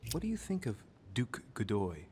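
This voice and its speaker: An actor playing a polite, reserved and probing gentleman in a period drama video game. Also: mid-range voice, wildly swooping pitch, moderate pace. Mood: polite, guarded. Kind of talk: scripted video game acting.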